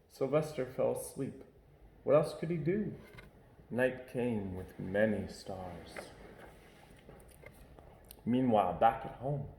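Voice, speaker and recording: A man's voice: mid-range voice, reverberant, poor audio. Man reading a children's story aloud. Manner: expressive, varied reading. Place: home.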